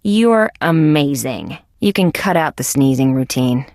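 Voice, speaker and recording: Casting: sardonic female bug cop for an adventure game; lowish voice, creaky, dry wisecracks. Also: lively intonation, a little slow, a little mellow, dry recording. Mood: sarcastic, annoyed. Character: wry, sardonic female insect police detective. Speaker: video game character. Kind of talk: scripted adventure game voice acting.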